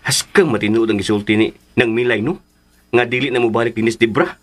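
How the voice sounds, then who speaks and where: A man playing a gossipy, comical, grumbling uncle in a radio drama, animated and whiny, with a Cebuano accent. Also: mid-range voice, a little fast, lightly acted. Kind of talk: Philippine radio drama acting.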